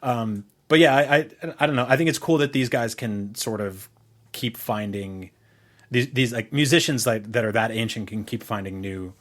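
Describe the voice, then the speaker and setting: A middle-aged man with a mid-pitched voice talks as a podcast co-host. His style is casual and rambling, with plenty of swearing. He sounds like someone in an informal chat, unscripted and loose. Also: normal speed, fairly bright tone. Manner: profane and informal.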